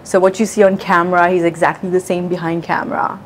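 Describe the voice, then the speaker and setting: A woman's voice: on the low side, level tone, quick pace. Young woman, from New Zealand, chatty, filler-heavy, candid interview, faint background noise. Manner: chatty, lots of fillers and quoted asides. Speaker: interview guest, content creator.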